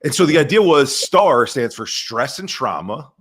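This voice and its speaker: A man talking as a podcast guest in an animated, energetic way over a video call. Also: medium pitch, normal speed, breathy edge. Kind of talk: casual conversation.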